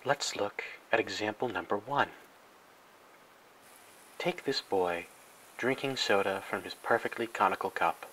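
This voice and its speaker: A man with a fairly low, bright and forceful voice narrates a spoof educational film. He delivers it deadpan, with mock-serious grandeur, over some faint background noise. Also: measured pace, pauses often, rough recording. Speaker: narrator and host.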